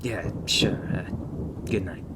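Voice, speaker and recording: A man playing a gruff, guarded agent who trains a rookie in a terse, stern manner in an ASMR roleplay. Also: low-pitched, steady tone, moderate pace, very noisy. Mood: awkward, flustered. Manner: terse, stern instructing, stammers when flustered.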